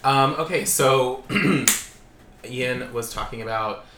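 A man co-hosting a podcast in casual chat, animated and laughing often, swearing freely. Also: mid-range voice, slow pace. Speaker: podcast co-host. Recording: echoey room with faint noise.

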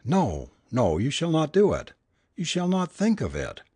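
A man reads the part of a frightened, submissive woman in a melodramatic audiobook tale. The voice swings widely in pitch with anguished pleading, husky at the edges and recorded very dry. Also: wildly swooping pitch, a little slow, darkish tone, no echo, exaggerated voice. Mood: sobbing, pleading. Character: worn-out, terrified, submissive woman, a medium's assistant. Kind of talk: dramatic audiobook character reading.

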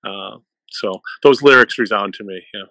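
A man's voice: on the low side, normal speed, sharp tone, no room sound, poor audio. A man with a Boston accent talking casually as a guest on a music discussion. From Boston area, United States. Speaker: panel guest, music fan.

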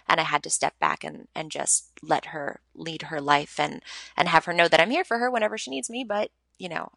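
A woman's voice: fairly low pitch, sharp tone, no echo. A woman in her thirties from Montreal, a podcast guest talking frankly and reflectively about her life, with many ums and restarts. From Montreal, Canada. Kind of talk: candid personal storytelling.

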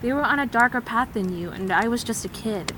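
A woman's voice: on the high side, expressive, brisk, background noise, close to an everyday voice. A woman acting in an audio drama as a self-contained wandering survivor, matter-of-fact and reassuring. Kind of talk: naturalistic audio drama dialogue.

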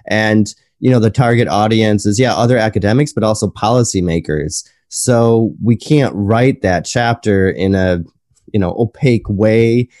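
Enthusiastic male researcher, dark mellow voice, dry close-up sound. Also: on the low side, normal speed, no echo. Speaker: academic researcher. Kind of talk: casual academic conversation.

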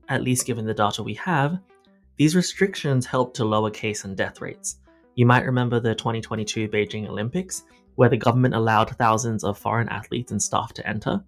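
A man with an Australian accent speaking as a podcast host, presenting and interviewing on a policy show. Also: medium pitch. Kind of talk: scripted podcast introduction and interview.

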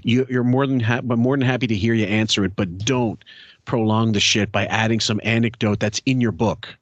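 A man's voice: on the low side, fairly even intonation, a little fast, no echo. A man hosting a podcast in casual chat, rambling and swearing, often stopping to restart his sentences. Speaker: podcast host.

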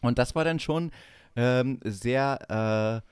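Man, forties, from East Germany, medium pitch, casual podcast chat, teasing, dry close sound. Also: drawn-out words, darkish tone, clean tone, no echo. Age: 40s. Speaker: podcast co-host.

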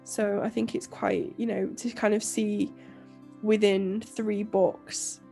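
A young woman co-hosting a podcast, croaky and nasal from illness, rambling casually with lots of fillers and restarts. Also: medium pitch, little pitch movement, slow, mellow, soft background music. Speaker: podcast co-host and author. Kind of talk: casual chat.

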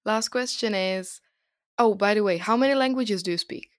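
A woman's voice: medium pitch, normal speed. A woman interviewing a guest, asking short casual questions.

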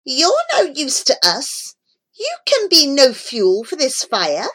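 A woman's voice: wildly swooping pitch, slow pace, heavily acted. A woman voicing spiteful flames in a storybook, shrieking scornfully. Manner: shrill, scornful shouting.

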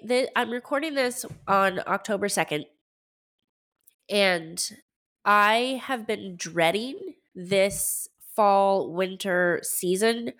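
A woman in her thirties hosting a solo podcast, chatty and rambling, her voice stuffy from a head cold. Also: mid-range voice. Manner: chatty and rambling, with many fillers and restarts. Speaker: podcast host. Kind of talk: casual solo podcast talk.

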